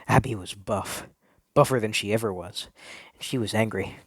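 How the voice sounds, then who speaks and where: A man's voice: fairly low pitch, normal speed, breathy edge, no room sound, studio quality. A man reading a first-person story aloud in a theatrical, earnest, confessional way.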